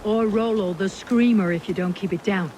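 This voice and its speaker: An actor playing a wry, blunt, level-headed Viking warrior in a video game. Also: male voice, high voice, normal speed, background noise, lightly acted. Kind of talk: video game character dialogue.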